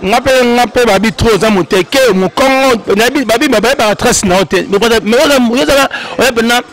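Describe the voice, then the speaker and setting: A male host, interviewing in a halting way with many restarts. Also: extremely high pitch, a bit bright, poor audio. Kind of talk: interview in Lingala and French. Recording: music clearly behind.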